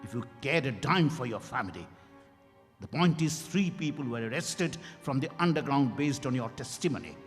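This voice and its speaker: Stern interrogating doctor played by a man, emphatic and animated, stage reading in a reverberant hall with music behind. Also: medium pitch, normal speed, natural voice. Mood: angry. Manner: emphatic, relentless, cross-examining. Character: stern accusing male doctor interrogating Mister Y.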